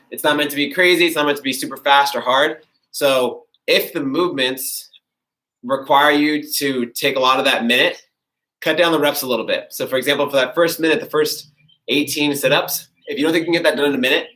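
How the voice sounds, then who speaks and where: Congested man coaching from across a roomy gym. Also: mid-range voice. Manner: relaxed, friendly coaching while demonstrating stretches on the floor. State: congested, stuffy nose. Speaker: fitness coach. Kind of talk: live-streamed workout instruction. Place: garage gym, indoors. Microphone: camera mic from across the room. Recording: echoey garage, voice from a distance.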